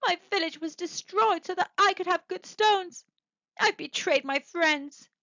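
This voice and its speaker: A woman voicing a young male stonecutter, speaking in a high, earnest way with a stammer at times, on a dull, dry recording. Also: measured pace, pushed and bright, no echo, exaggerated voice. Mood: anguished. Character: young male stonecutter, eager then anguished.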